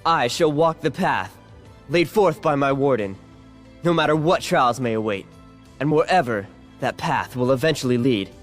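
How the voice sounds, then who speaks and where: An actor playing an earnest, devoted young royal guard in a video game, speaking formally. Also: male voice, medium pitch, lively intonation, normal speed, soft background music, natural voice. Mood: solemn resolve. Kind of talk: dramatic video game acting.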